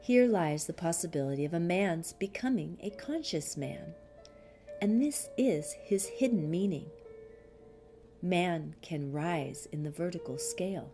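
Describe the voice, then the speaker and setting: Slow, low-pitched woman reading aloud. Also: lively intonation, warm tone, faint music behind. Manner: measured, deliberate, with frequent pauses. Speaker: reader of a spiritual commentary. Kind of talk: scripted audiobook reading.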